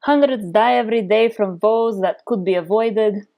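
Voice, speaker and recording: A woman's voice: medium pitch, normal speed, vocal fry, highs cut off, natural voice. A woman voicing her character, a tough Russian-born female FBI unit leader in her forties, reading out a note in a put-on Russian accent. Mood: declaiming. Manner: stiff, declaiming reading of a note.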